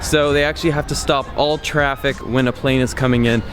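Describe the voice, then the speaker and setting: A man's voice: medium pitch, steady tone, a little fast, music in the background. A man vlogging casually to camera in an upbeat, chatty and jokey way, as a travel host. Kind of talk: casual vlog chat to camera.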